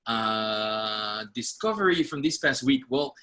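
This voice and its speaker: A man's voice: lowish voice, animated, slow pace, pushed and bright, clean tone. A man from Rico, an arts director and teacher, introducing himself on a video call in a hesitant, earnest way, searching for words. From Puerto Rico. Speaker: artistic director and university faculty member.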